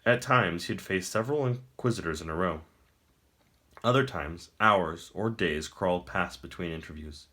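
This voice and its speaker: Low-pitched guy narrating a dark fantasy book, measured dramatic storytelling, emphatic phrasing. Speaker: audiobook-style reader.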